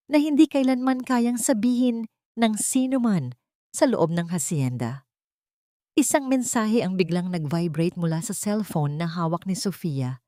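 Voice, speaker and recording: A woman's voice: lowish voice, darkish tone, no room sound, studio quality. A woman narrator reading a scripted, dramatic audio story.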